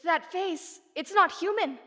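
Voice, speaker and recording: A woman's voice: very high voice, measured pace, pushed and bright, heavily acted. A woman playing an investigator's nervous, loyal companion in an old-time radio drama, with earnest delivery. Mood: frightened. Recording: long reverb with music behind.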